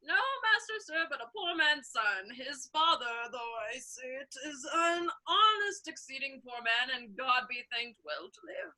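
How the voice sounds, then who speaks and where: Old befuddled father, female performer: humble, imploring, high and piercingly bright, stage comedy delivery. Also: expressive, a little slow, hardly any pauses, full character voice, poor audio. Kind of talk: theatrical Shakespeare reading.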